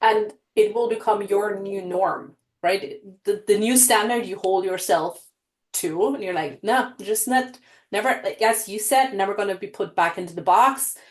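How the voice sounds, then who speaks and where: A woman's voice: mid-range voice, moderate pace. A woman hosting a podcast, outspoken and enthusiastic, swearing casually. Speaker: podcast host. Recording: roomy echoey room.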